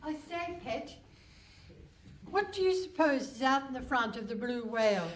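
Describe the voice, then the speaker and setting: A woman's voice: high-pitched, lively intonation, normal speed, slight background noise. A woman playing a prim, inquisitive detective mother in a live comic radio play. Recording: slightly distant stage mic.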